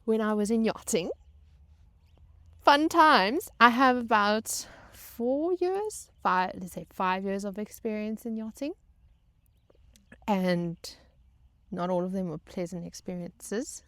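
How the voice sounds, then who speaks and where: Casting: female vlog host, chatty and wry, unhurried halting delivery, dry close recording. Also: medium pitch, animated, fairly bright tone, no room sound. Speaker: vlog host telling stories. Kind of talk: casual personal storytelling.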